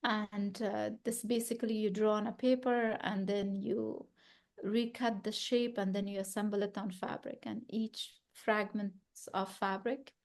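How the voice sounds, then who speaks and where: A woman's voice: medium pitch, level tone, measured pace, a little mellow. A woman in her thirties from Afghanistan, speaking thoughtfully about her work at a gallery talk, often restarting and searching for words. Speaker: exhibiting artist.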